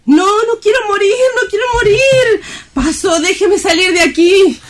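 A woman's voice: very high voice, measured pace. A woman acting a frightened, desperate young woman worn down by loneliness, in dramatic radio-play style. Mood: panicked, pleading.

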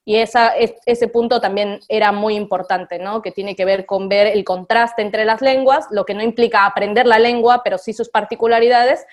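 A woman's voice: medium pitch, fairly even intonation, moderate pace, hardly any pauses. A woman teacher, reflecting aloud in a radio interview with frequent fillers. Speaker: literacy teacher.

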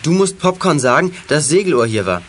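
A man's voice: a little high, sing-song, fairly quick, slight background noise. A young-sounding male actor playing an eager, watchful boy detective in a children's audio drama. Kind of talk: German children's radio play acting.

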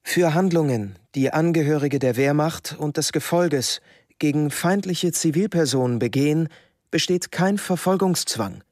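An actor playing a detached wartime official, formally reading out orders and reports in a radio drama. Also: male voice, fairly high pitch, normal speed, a little mellow, no echo, studio quality, close to an everyday voice. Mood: officious, detached. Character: bureaucratic Nazi official stating orders and reports.

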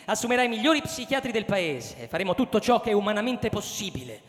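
High-voiced, gushing TV show host. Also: male voice, very animated. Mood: smooth reassurance. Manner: breathless hype, drawn-out words, folksy asides to viewers. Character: gushing male TV game-show host, slick showman. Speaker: actor in a radio drama. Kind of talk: dramatic radio play in a game-show announcer's manner. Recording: long hall reverb, music behind.

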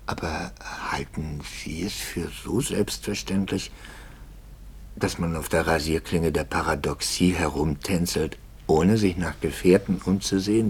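An actor playing a troubled middle-aged priest in a 1950s radio drama, brooding and hushed, with bursts of passion. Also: male voice, sits low, a little hiss or hum. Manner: brooding, swings from hushed whispers to impassioned outbursts.

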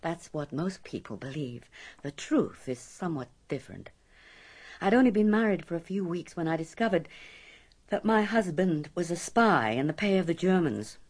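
Haunted married woman, low voice, middle aged, scared and confiding, dramatic pauses, radio play. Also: very animated, a little mellow. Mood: grave, confiding. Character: frightened, haunted married woman confiding her secret past.

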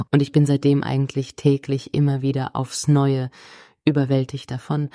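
A mellow, dark-toned woman played by an actress as an earnest psychologist and commune convert in a satirical radio play. Her delivery is very even and measured, like a confession, in a dry close recording. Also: very deep voice, no room sound. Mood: blissful, earnest. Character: earnest female psychologist, blissful commune convert, quietly worried. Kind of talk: mock-documentary radio play interview.

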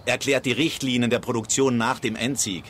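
An actor in a German radio drama playing a brash, cynical screenwriter who reports in clipped phrases. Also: male voice, mid-range voice, fairly even intonation, fairly quick, a bit bright, a little hiss or hum, close to an everyday voice. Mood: brisk, sardonic.

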